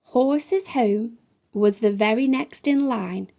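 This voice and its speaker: A woman with a high, soft-edged mellow voice reads a rhyming picture book for children. Her storytime narration is slow and measured. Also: vocal fry. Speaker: storytime reader. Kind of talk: children's picture book read-aloud in rhyme.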